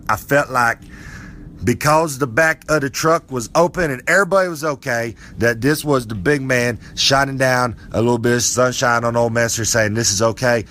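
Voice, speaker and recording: A man's voice: medium pitch, fairly bright tone, close to an everyday voice. Guy putting on a rambling old wrestler, folksy and preachy, bit of room hiss. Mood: boastful. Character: boastful old male wrestler character, folksy. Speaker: podcast character segment.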